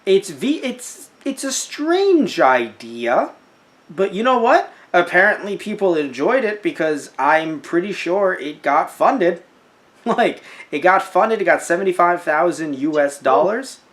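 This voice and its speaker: A young man co-hosting a casual podcast, animated and jokey, slipping into silly voices. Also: sits high, a little slow. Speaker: podcast co-host. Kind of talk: casual chat.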